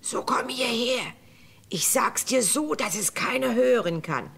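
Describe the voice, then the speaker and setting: A witchlike, scheming old female cook for a fairy-tale radio play. She speaks very slowly and deliberately in a fairly high, conspiratorial voice. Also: lively intonation, vocal fry, fairly bright tone, slightly rough, heavily acted. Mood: secretive, menacing.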